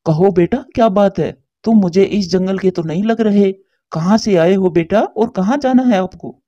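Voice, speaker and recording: A mellow, dark-toned male voice as a man acts out a grown cartoon bird. He is calm, reassuring and avuncular. The audio is dry and a little muffled. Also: sits high, normal speed, no echo, natural voice. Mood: kindly, curious. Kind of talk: Hindi cartoon story character voice.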